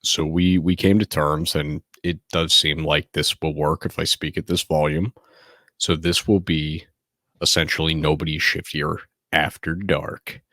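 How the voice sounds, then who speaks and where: A man in his thirties hosting a casual late-night solo podcast from home, speaking softly and close to the microphone in a laid-back, candid way. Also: rumbling low, little pitch movement, unhurried, no echo. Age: 30s. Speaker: podcast host.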